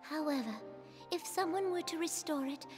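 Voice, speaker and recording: A woman's voice: sits high, moderate pace, soft background music, close to an everyday voice. An actress playing a regal, earnest and stern female ruler in a fantasy game cutscene, speaking formally in archaic phrases. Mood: solemn. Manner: formal, imperious, archaic speech. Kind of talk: dramatic scripted game cutscene acting. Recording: long echoing reverb.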